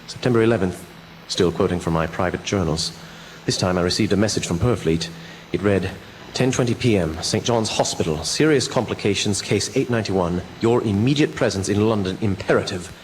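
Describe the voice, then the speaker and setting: An actor playing an earnest doctor, narrating formally in a radio drama. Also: male voice, lowish voice, brisk, natural voice. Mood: formal, sombre. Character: earnest doctor recounting his fiancee's mysterious illness. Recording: noisy amateur local radio recording.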